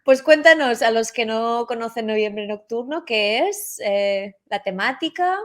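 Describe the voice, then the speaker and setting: Lively woman interviewer. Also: fairly high pitch, a little slow. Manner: lively, frequent short backchannels. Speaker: interview host. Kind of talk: casual interview chat.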